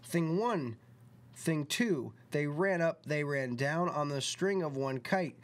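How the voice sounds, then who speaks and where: A man's voice: fairly high pitch, expressive, takes it slowly, a little hiss or hum. A man acting as storyteller and host, reading a children's storybook aloud and chatting casually.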